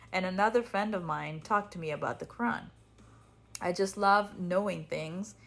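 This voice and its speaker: A woman with an Italian accent, a native Italian speaker, hosting a podcast with casual personal storytelling in a chatty, self-deprecating manner. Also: medium pitch. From Italy. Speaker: podcast host.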